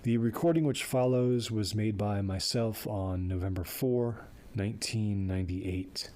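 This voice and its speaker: A man playing an aging, calm and methodical parapsychologist in an audio drama, explaining things in a measured way. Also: fairly low pitch, lightly acted. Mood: formal. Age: older. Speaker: paranormal investigator. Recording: steady hiss and rain behind.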